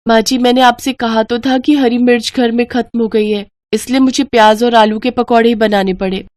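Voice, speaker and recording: Gentle, put-upon young bride for a moral story, played by a woman narrator, polite, pleading, slightly raised pitch. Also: fairly even intonation, normal speed, no room sound, lightly acted. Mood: meek, explaining. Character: meek, long-suffering young daughter-in-law.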